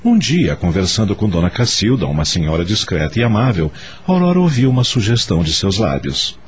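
Low, expressive Brazilian male narrator. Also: brisk, a little hiss or hum. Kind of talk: scripted dramatic radio drama narration.

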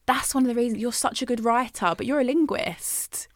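A woman's voice: on the high side, a little fast, no echo. A young woman hosting an interview, chatting enthusiastically and laughing easily with her guest. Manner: warm, enthusiastic, laughs readily. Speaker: podcast host. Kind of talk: conversational interview.

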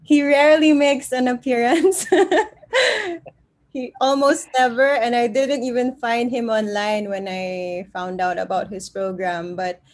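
A woman's voice: a little high, level tone, measured pace. A woman hosting an interview series, giving chatty introductions and asking her guest questions. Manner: warm, chatty introductions with frequent laughs. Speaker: interview host.

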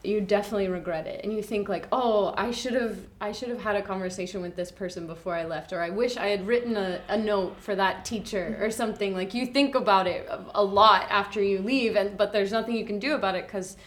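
A woman's voice: medium pitch, a little fast, few pauses. A young adult woman speaks in an earnest, thoughtful way as a teacher guest on a school podcast. Her voice sits in an ordinary middle register, and she sometimes drops into quoted inner thoughts. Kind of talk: reflective casual chat.

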